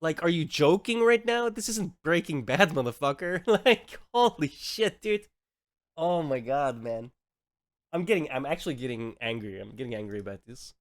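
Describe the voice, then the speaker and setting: A man's voice: on the high side, expressive, moderate pace, dry recording. A man from Belgium with a Belgian Dutch accent, a native Dutch speaker, ranting and swearing as he reacts to a clip on his stream. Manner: heated ranting, swears often. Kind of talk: reaction commentary.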